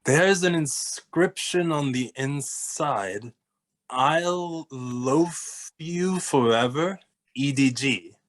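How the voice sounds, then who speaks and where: Man playing a very slow-spoken, skeptical young detective. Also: on the high side. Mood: serious. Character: Ashton, level-headed skeptical young male detective. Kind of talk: animated comedy table read.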